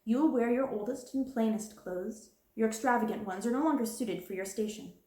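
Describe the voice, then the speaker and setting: A woman's voice: fairly high pitch, fairly quick, dark tone, roomy sound, close to an everyday voice. A woman voicing a harsh, domineering middle-aged schoolmistress in a storybook reading, cold and scornful. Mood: commanding.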